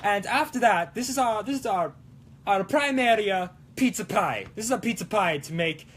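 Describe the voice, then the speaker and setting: A man doing a high, excitable cartoon Italian guy. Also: moderate pace, slightly rough, exaggerated voice. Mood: playful, explaining. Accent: mock Italian. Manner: exclamatory, playful, sing-song broken English. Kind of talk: live-streamed prop-making vlog in a put-on accent.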